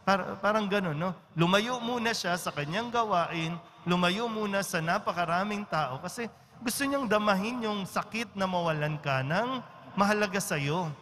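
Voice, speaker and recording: A Filipino priest delivers a conversational homily in a large church, his fairly high voice trailing off into a long reverb. A faint background hiss sits under his words, and he keeps drawing the congregation in with little tag questions. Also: normal speed, fairly bright tone. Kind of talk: Sunday-style homily.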